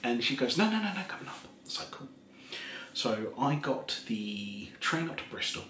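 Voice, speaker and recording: A man of forty telling a story in a casual, soft-spoken way, rambling and laughing at himself, seated in a small kitchen in front of a camera. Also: medium pitch, very animated, moderate pace, reverberant, a little hiss or hum. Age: 40s. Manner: rambling, laughs often, self-mocking. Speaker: ASMR creator.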